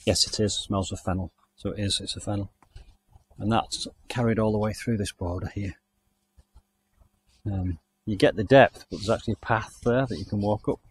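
A man's voice: on the low side, fairly even intonation, moderate pace, slightly rough, rough recording. A man from the UK giving a chatty, enthusiastic walking tour of a country garden outdoors, recorded on the camera he carries. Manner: chatty, enthusiastic, thinking aloud. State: hot and overdressed while walking. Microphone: handheld camera mic, walking.

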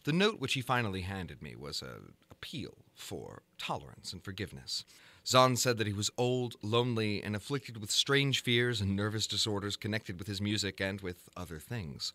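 A man reads gothic fiction as a dramatic narrator. His voice is fairly low yet bright and forceful, and it moves expressively through formal, measured phrases. The sound is dry, with no room around it. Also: lively intonation, no room sound. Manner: formal, measured, theatrical delivery. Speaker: narrator reading a story. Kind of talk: dramatic audiobook reading of gothic horror fiction.

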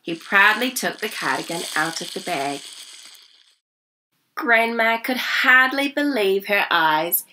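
A woman, reading a children's story aloud in an animated, smiling way. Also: medium pitch, slow, poor audio. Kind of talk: expressive storybook read-aloud. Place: small indoor reading room.

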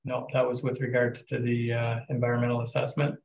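A Canadian man chairing a municipal council meeting as mayor, moving motions along in a plain, steady way over a video call. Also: medium pitch, a little fast, gravelly, echoey room, rough recording.

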